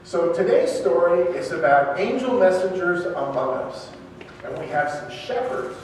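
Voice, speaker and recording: A man's voice: high-pitched, big pitch swings, measured pace, a little mellow. A man narrating a scripture dramatization to a congregation in a church. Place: large church interior. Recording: echoey church hall from far off, faint noise.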